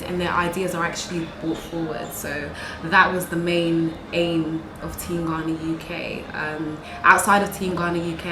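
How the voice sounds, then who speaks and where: A woman from London with a London accent, answering interview questions conversationally, recorded with echo and noise. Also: fairly low pitch. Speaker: interviewee, youth organisation founder and teacher. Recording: echoey, distant mic, noisy background.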